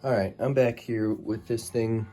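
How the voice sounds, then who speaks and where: A man's voice: fairly low pitch, fairly even intonation, measured pace. A man giving a casual, unscripted gadget review, informal and hesitant as he thinks aloud. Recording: music in the background.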